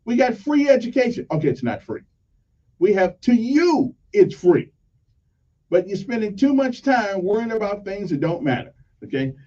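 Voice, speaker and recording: A man's voice: sits high, very animated, moderate pace, warm tone, dull audio. A man hosting a radio talk show with a Southern US accent, rambling and joking, often tagging his points with "okay?". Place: radio studio.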